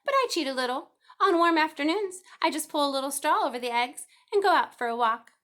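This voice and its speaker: A woman's voice: sits high, animated, fairly quick, a bit bright, pitched above the performer's own voice. A woman voicing a fussy motherly goose in a children's storybook, stuttering and repeating her words. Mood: fussy, brisk. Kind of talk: children's storybook read-aloud.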